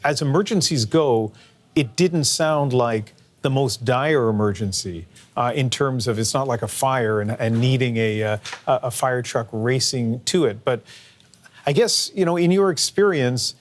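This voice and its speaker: A man's voice: medium pitch, a little slow. A man hosting a live news interview in a conversational way, feeling out his questions as he goes.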